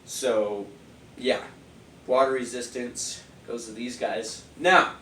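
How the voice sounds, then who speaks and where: A man's voice: medium pitch, steady tone, takes it slowly, reverberant, recorded from a distance, background noise. A man reviewing party speakers in a loose, rambling way, sniffly and a little nasal from a cold. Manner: rambling, loose, many fillers. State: stuffy nose, sniffly. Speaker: product reviewer. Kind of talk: casual product review.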